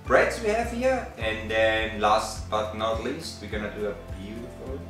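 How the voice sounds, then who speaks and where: Upbeat chef from Austria, roomy kitchen. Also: male voice, fairly low pitch, expressive, a little slow, creaky, a bit bright. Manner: upbeat, explains steps while cooking. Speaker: chef and co-host. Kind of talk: casual cooking show presenting. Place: large home kitchen, indoors. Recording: roomy, distant sound with light music.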